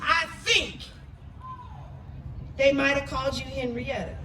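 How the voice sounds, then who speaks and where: A woman's voice: high voice, slow, pushed and bright, slight background noise. A woman performing spoken word poetry with an impassioned, emphatic and dramatic delivery. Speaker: poet. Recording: roomy echo, distant microphone.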